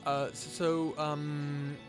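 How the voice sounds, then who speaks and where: A man's voice: fairly high pitch, flat delivery, very slow, over music. A man playing a sarcastic, easily exasperated human scientist in an informal, conversational audio roleplay drama. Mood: casual, curious. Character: wry, grumbling human scientist, reluctant companion of a robot.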